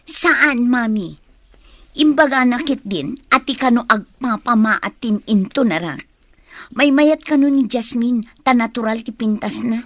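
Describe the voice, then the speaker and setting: A woman's voice: a little high, measured pace, dry recording, clean studio sound. A woman playing a young office secretary with a Filipino accent, eager to please yet resentful, in a radio drama. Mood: sulky, resentful.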